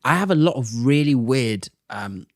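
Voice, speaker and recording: A young man and comedian, chatting casually as a podcast guest and telling animated stories in which he acts out other people's lines. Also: mid-range voice, moderate pace, no echo, studio quality. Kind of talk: casual chat, storytelling.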